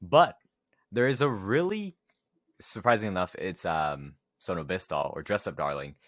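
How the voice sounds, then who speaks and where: Very deep-voiced young man, lively intonation. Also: moderate pace, no echo, studio quality. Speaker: podcast co-host. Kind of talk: casual chat.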